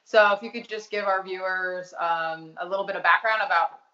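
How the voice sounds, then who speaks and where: A woman's voice: on the low side, steady tone, a little fast, sharp tone. A woman hosting an interview, asking questions and affirming her guest with short replies. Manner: friendly, affirming with short backchannels. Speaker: interviewer, host. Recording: echoey, slightly dull.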